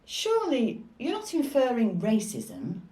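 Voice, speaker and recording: An actress playing a formal, authoritative hospital matron with a brisk, questioning manner in a scripted drama. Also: female voice, on the high side, huge pitch swings, warm tone. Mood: incredulous, affronted. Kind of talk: scripted stage-drama acting. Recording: distant mic in echoey room.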